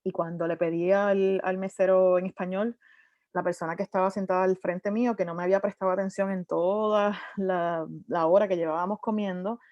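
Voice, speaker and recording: A lively woman in her thirties speaks in a mid-range voice. She switches into English terms and often restarts her sentences as she talks. Her voice comes through a dry, dull video call line with no room sound. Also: medium pitch, a little fast, no echo. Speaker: panelist. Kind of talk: conversational storytelling on a virtual panel.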